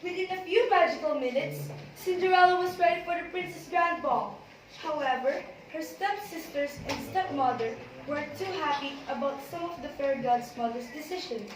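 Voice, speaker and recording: A woman's voice: high-pitched, normal speed. A woman reading scripted storybook narration as the narrator of an amateur readers' theater. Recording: very echoey room, distant, noisy.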